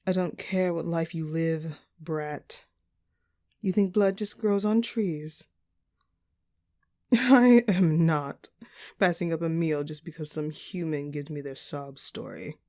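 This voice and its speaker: A woman playing a dominant, hungry female vampire in an audio roleplay, taunting and menacing her prey in a condescending, breathy voice. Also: lowish voice, lively intonation, warm tone. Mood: scornful, irritated. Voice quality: breathy, often dropping toward a whisper. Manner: slow, teasing, condescending, menacing. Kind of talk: audio roleplay acting, addressed to the listener.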